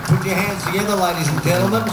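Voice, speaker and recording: A man's voice: high-pitched, steady tone, fast, very noisy. A man announcing acts as master of ceremonies at a live talent show, in an upbeat showman manner. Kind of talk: stage announcing. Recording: echoey hall with cheering crowd.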